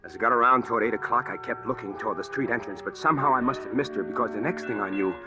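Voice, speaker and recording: A man's voice: medium pitch, rapid-fire, lo-fi sound, lightly acted. An actor playing a brooding, deceived man who poses as a bashful Texan, in old-time radio drama style. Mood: brooding, haunted. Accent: Texan drawl. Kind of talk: old-time radio drama acting with first-person narration. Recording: vintage radio drama with faint music.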